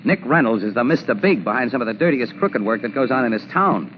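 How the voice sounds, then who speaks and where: An actor playing a streetwise, steady crime photographer in a 1940s radio drama, with casual, wisecracking slang. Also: male voice, medium pitch, fast talker, close to an everyday voice. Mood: knowing, brisk. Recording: muffled, noisy 1940s radio broadcast.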